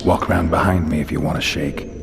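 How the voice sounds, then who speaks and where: A man voicing a world-weary, sardonic monster hunter in a video game, terse and deadpan, with a gravelly voice. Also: a bass voice, a little fast, long reverb tail, noisy background, heavily acted. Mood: dry, defiant.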